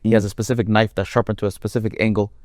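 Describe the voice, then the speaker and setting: A man with a deep, low-set voice talks through his points in an easy conversational way, stacking up long examples to make each one clear. The sound is dry and close, with almost no room around it. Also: little pitch movement, very fast, a little mellow, dry recording. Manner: explains with long worked examples. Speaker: Quran reciter and guest. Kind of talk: conversational interview.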